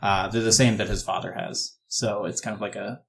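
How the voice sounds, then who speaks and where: A man with a fairly low voice runs a tabletop role-playing game. He improvises his narration conversationally, explaining the world to his players in an informal way. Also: fairly even intonation, a little fast, vocal fry, slight background noise. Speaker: game master.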